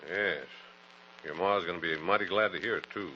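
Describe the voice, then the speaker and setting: A man's voice: sits low, normal speed. An actor playing a hearty, folksy older sheriff and father in an old radio western. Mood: proud, wistful. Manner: animated, folksy. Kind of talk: 1940s radio western acting. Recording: muffled old radio recording with hum.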